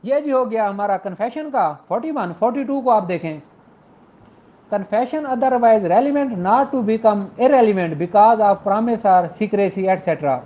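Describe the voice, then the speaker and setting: A man's voice: sits high, slow pace. Pakistani man lecturing, dull lo-fi sound. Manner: conversational, addresses listeners as his children and siblings. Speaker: law lecturer. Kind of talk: informal explanatory lecture. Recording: dull lo-fi audio.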